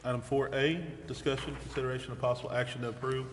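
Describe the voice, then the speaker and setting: A man chairs a formal meeting, reading items in a procedural style. His voice is mid-pitched and rings through a long-reverb chamber, with clear noise in the recording. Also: little pitch movement, fast. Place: indoor council chamber.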